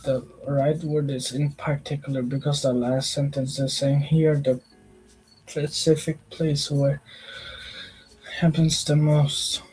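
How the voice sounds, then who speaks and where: Sleepy young man reading slowly, breathy. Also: on the high side, little pitch movement, a little mellow, faint music behind, poor audio. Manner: mumbling, reads aloud haltingly, restarts often. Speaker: livestreamer studying for a test. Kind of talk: casual late-night study livestream.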